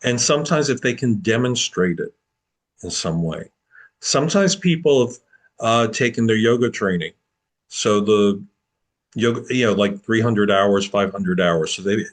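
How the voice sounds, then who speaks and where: A man answering applicants' questions at an online open house, relaxed and explanatory, over a video call. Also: fairly low pitch. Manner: relaxed, explanatory, with repeats and restarts. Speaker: program admissions advisor.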